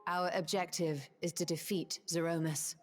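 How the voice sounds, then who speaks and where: A woman playing a composed, resolute sorceress and scholar in a fantasy video game, measured and formal. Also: on the low side, little pitch movement, natural voice. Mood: grave, analytical. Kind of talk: dramatic video game acting. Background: game music.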